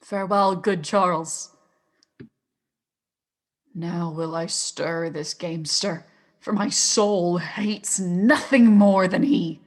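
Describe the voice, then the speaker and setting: A woman's voice: on the low side, takes it slowly, pauses often. A woman playing a scheming, envious male villain in a Shakespearean radio play. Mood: bitter, scheming. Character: scheming, envious villain who hates his brother.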